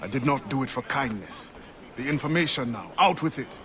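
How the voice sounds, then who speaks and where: An actor playing a determined, commanding freedom fighter in a video game, terse, forceful and formal in his speech. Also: medium pitch, lively intonation, fairly quick, over a noisy crowd, close to an everyday voice. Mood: threatening, stern. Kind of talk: dramatic game cutscene acting. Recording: clearly noisy game ambience behind.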